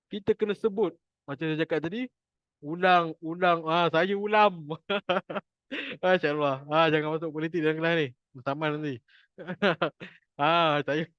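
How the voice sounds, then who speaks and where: Malaysian male Quran teacher, chatty and jokey, slightly high voice, dry lo-fi recording. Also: no echo. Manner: chatty, jokey, repeats points for emphasis. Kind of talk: informal online class teaching.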